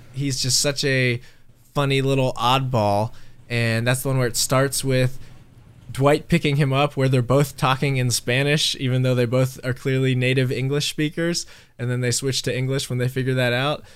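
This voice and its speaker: A congested man chatting casually as a podcast co-host, telling stories with animated energy. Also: mid-range voice, smooth. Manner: chatty, animated storytelling with laughs. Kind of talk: casual chat over a call. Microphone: remote call mic.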